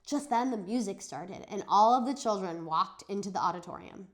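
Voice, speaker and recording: A woman teacher giving an expressive read-aloud of a children's story, acting out the dialogue. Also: mid-range voice, normal speed. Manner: animated, dramatizes dialogue. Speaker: teacher reading a chapter aloud for students.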